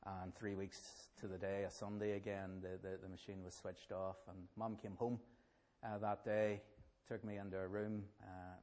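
A middle-aged Northern Irish man, a minister, telling his life story at a public event in a halting way that often repeats words. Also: fairly low pitch, flat delivery, a little mellow. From Northern Ireland. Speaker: Presbyterian minister. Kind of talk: personal testimony at a public event.

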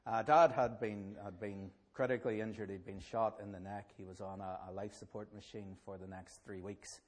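A middle-aged minister with a Northern Irish accent speaks to an audience in a fairly low voice. He talks haltingly and stumbles over repeated words as he tells his story. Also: fairly even intonation. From Northern Ireland. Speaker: Presbyterian minister. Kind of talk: personal testimony at a public event.

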